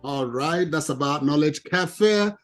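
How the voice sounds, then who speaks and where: A man with a non-native English accent giving an animated, emphatic presentation to a professional audience. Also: a little high, unhurried, over loud music. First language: not English. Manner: emphatic, lively, addresses ladies and gentlemen.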